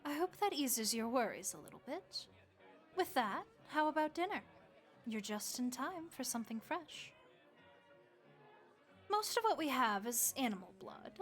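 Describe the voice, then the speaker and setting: A woman voicing a friendly, motherly vampire innkeeper who speaks gently and reassuringly to the listener in an audio roleplay. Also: high voice, very animated, a little slow, pauses often, faint music behind. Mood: earnest, comforting.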